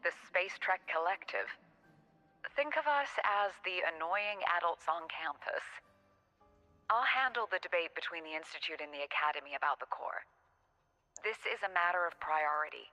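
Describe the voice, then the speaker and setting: A woman playing a composed, shrewd academy president in a video game, measured and wryly polite. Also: lowish voice, animated, bright, forceful tone, big-room sound, soft background music. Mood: cool, firm.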